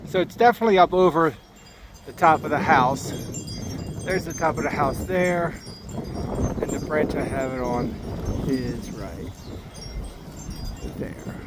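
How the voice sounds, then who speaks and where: Higher-pitched casual man, noisy recording. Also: measured pace, pauses often. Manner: informal, narrating as he goes. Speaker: hobbyist CB radio vlogger. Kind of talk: casual hands-on demonstration and radio chat.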